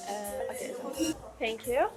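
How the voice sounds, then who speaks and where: A woman's voice: a little high, moderate pace, over loud music. A woman in her early twenties with a Levantine Arabic accent, chatting casually to camera as a vlog host and slipping in English words. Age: early 20s.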